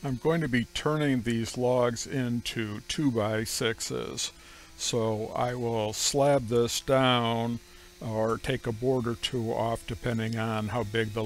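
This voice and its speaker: A middle-aged man narrating how he runs his sawmill, speaking slowly and plainly with frequent pauses. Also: medium pitch, vocal fry. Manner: slow, measured, plain-spoken with frequent "uh" pauses. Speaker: homestead sawmill operator. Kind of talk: voice-over explaining sawmill operation.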